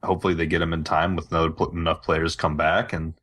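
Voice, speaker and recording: Low-pitched male podcast host, informal gamer conversation. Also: flat delivery, quick pace, a bit bright. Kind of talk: casual gaming podcast chat.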